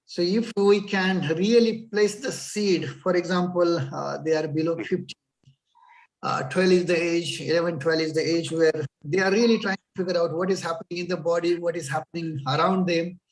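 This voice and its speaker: High-voiced Indian man lecturing earnestly. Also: recorded from a distance, low-quality recording. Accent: Indian English. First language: Marathi. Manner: earnest, advising, many fillers. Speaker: guest lecturer. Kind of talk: lecture on a video call.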